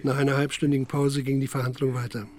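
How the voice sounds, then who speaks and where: An actor playing a sober, restrained narrator in a scripted radio drama. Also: male voice, mid-range voice, level tone, quick pace, darkish tone, dry recording, close to an everyday voice. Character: sober male narrator chronicling a crime.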